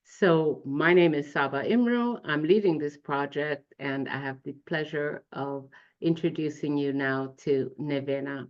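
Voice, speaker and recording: A woman's voice: sits low, unhurried. A woman hosting an interview, politely introducing her guest and asking questions. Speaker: interviewer and host. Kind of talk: hosted interview. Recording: dull lo-fi sound.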